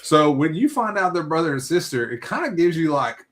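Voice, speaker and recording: A man's voice: on the high side, very animated, a little fast, slight background noise. A man co-hosting a podcast, chatting casually about a book and often ending his thoughts with "right?". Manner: rambling, many fillers and "right?" tags. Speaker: podcast co-host. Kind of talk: casual book-club chat.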